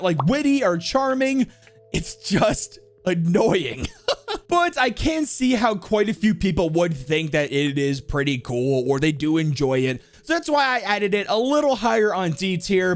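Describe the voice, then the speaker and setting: High-pitched, animated male gaming host. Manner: chatty, informal, stressing words for emphasis. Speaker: video host. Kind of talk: casual gaming commentary to camera.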